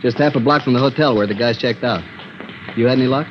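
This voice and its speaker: An actor playing a brisk, matter-of-fact police detective with a clipped manner, in 1950s radio drama style. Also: male voice, medium pitch, music in the background, close to an everyday voice. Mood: brisk, informative. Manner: clipped, reporting. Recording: dull, lo-fi 1950s radio recording.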